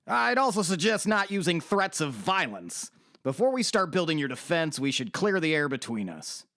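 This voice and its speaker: A man's voice: on the high side, sing-song, moderate pace, a bit bright, no echo. A man playing a formal, polite, slightly hesitant middle-aged defense lawyer in an audio drama. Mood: formal, earnest.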